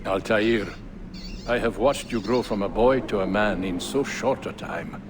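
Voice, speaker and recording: A man playing a low-voiced, fatherly old mentor. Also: unhurried, background noise, natural voice. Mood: grave, tender. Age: older. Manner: grave, fatherly, formal. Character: old male assassin mentor, wise, fatherly, proud. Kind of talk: video game cutscene acting. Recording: clear music behind the voice. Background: game score.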